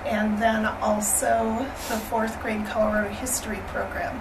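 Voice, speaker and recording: A woman with a voice going hoarse gives a friendly, chatty slide presentation at a school board meeting, with many ums and throat clearing. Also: on the high side. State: losing her voice, hoarse. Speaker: elementary school staff presenter. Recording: distant room mic with steady noise.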